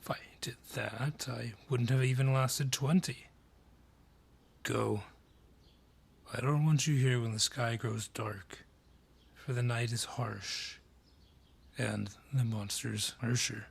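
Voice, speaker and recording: Man acting slow, husky ancient dryad. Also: medium pitch, steady tone, full character voice. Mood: brusque. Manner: deliberate, brusque, ominous storyteller. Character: ancient male dryad, gruff forest guardian named Al. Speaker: forest guardian and informant. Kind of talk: fantasy audio roleplay acting.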